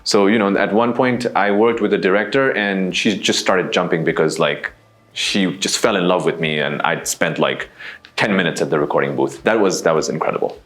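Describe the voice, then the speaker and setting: A young man from Nepal with a baritone voice, a voice actor answering interview questions in a relaxed, wry way. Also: normal speed. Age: young adult.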